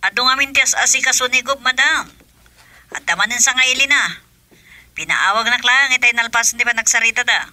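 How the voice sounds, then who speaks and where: A woman with an Ilocano accent playing a brisk, businesslike aide giving reports in a radio drama. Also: fairly high pitch, bright, forceful tone, no echo. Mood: reporting.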